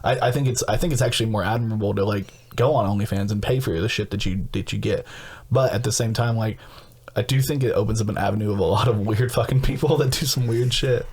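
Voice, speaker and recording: A man's voice: fairly low pitch, fast, darkish tone, breathy edge. A man co-hosting a podcast in casual chat, rambling with crude jokes and frequent laughter. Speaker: podcast co-host.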